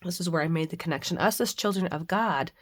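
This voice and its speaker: A middle-aged woman, hoarse from the flu, chatting casually and rambling a bit as she hosts a faith and crafting video at home. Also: lowish voice, quick pace. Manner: chatty, rambling with frequent fillers. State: hoarse, recovering from flu with a cough. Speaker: craft channel host. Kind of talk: casual devotional and crafting chat.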